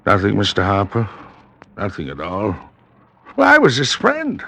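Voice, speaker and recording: An actor playing a haunted, guilt-ridden, half-mad sea captain in a vintage radio drama, intense and melodramatic, with a rough voice. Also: male voice, lowish voice, wildly swooping pitch, measured pace, sharp tone, heavily acted. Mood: grim, brooding. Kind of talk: classic radio drama acting.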